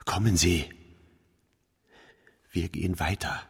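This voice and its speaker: Low-voiced, grim, dogged soldier. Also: male voice, takes it slowly, gravelly, breathy edge, no echo, studio quality, heavily acted. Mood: grim, insistent. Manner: monotonous, incantatory repetition. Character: grim, dogged male soldier pressing on into darkness. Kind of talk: radio play acting.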